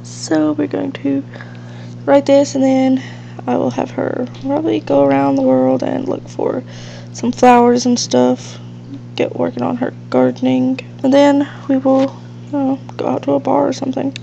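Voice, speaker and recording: High-pitched, very creaky, congested young-sounding woman. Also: flat delivery, moderate pace, warm tone. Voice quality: nasal, congested, sniffly. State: stuffed up with allergies. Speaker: host of a gaming let's play. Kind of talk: casual gameplay commentary. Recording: dull lo-fi mic with faint hiss and hum.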